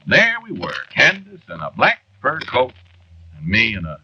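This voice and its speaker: A man's voice: medium pitch, huge pitch swings, moderate pace. An actor playing a weary, centuries-old henpecked man in a 1940s radio drama, confiding in a hesitant, wry way. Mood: wry recounting. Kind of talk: 1940s radio drama acting, first-person narration. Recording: dull, noisy 1940s radio recording.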